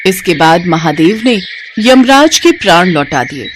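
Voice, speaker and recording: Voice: mid-range voice, big pitch swings, a little slow, noisy background. A narrator reading a scripted devotional myth story.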